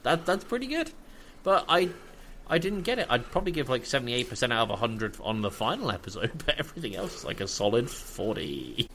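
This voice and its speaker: A man's voice: mid-range voice, sing-song, brisk, fairly bright tone, a little hiss or hum. A man co-hosting a podcast in casual chat, repeating and rephrasing himself for emphasis. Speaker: podcast co-host. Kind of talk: casual chat, review discussion.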